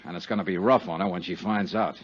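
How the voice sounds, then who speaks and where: A man's voice: low-pitched, fast talker, husky. An American man playing a hard-boiled, brooding and self-assured insurance investigator in a 1950s radio detective drama. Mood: insistent. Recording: dull, muffled 1950s radio recording.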